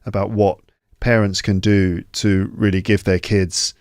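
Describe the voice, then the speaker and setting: A man's voice: fairly low pitch, flat delivery, measured pace, darkish tone, no room sound, studio quality. A man hosting a podcast, joking and rambling with fillers as he interviews a guest over a video call. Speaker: podcast host. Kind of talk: casual interview chat.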